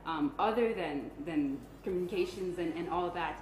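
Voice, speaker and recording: A woman in her twenties speaks in a fairly low voice. She is from Canada and presents herself as a pageant contestant. The room is echoey, with faint noise behind her. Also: moderate pace, a little mellow. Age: 20s. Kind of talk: self-introduction on a live stream.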